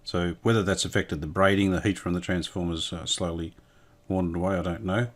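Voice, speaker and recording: A man with a low voice talks through hands-on restoration work as he goes. His delivery is understated and matter-of-fact, with the unscripted feel of a casual workbench vlog. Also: little pitch movement, a little fast. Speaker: restorer presenting a hands-on vintage radio repair.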